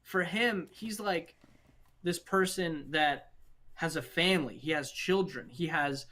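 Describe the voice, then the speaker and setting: A man's voice: fairly high pitch, a little slow, pushed and bright. Young man chatting casually, earnest. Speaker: podcast co-host. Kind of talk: casual group film discussion.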